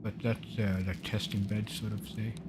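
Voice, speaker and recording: A man from Sweden with a Swedish accent, casually commenting on a live game stream in a rambling, halting way as he plays. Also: fairly low pitch, flat delivery. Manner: rambling, halting, explaining while playing. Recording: loud ship engine noise behind.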